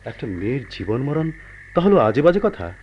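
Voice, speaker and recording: A man acting a calm, pragmatic husband who earnestly placates his unhappy wife in a radio play. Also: mid-range voice, moderate pace, breathy edge. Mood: troubled, pleading. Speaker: radio drama actor. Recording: dull, noisy old radio drama recording.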